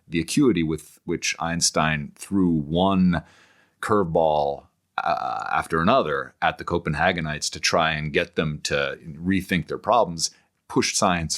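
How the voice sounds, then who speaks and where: Male interview guest, extremely low voice, erudite and digressive, thinking aloud in halting intellectual conversation. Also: a bit bright. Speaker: interview guest, scholar and author.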